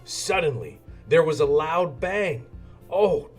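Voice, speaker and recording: A man narrates a children's storybook with a very lively, swooping melody. He takes his time over each phrase, with music playing behind him. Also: medium pitch, unhurried. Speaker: storybook reader.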